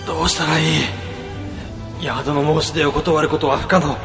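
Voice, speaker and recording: A slightly high voice of a cunning office rival, played by a man who plots in intense, overdramatic inner monologue. It sits in a long reverb with music under it, like a comedy radio drama. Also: fairly even intonation, brisk, close to an everyday voice. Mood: scheming.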